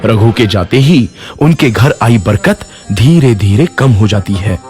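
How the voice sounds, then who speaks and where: Lively male storybook narrator. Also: on the low side, a little slow, soft background music.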